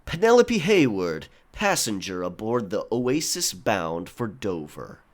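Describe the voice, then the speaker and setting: A man plays a pirate quartermaster for an audio drama, stern and easily exasperated. His drawl is measured and coolly menacing, with pitch that moves widely from phrase to phrase. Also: very animated. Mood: cool, deliberate. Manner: drawling, measured, matter-of-fact.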